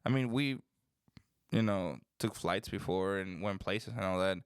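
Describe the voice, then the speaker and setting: A man's voice: deep voice, measured pace, clean tone, no room sound, studio quality. A young man from the Dominican Republic, rambling in casual chat as a podcast host. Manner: relaxed, rambling, says "bro".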